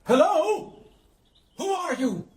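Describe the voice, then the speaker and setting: An actor playing an evasive, offhand American husband in a radio play ghost story, with a husky voice and brightly reassuring manner. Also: male voice, very high-pitched, very animated, takes it slowly, a little hiss or hum, exaggerated voice. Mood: calling out. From New York.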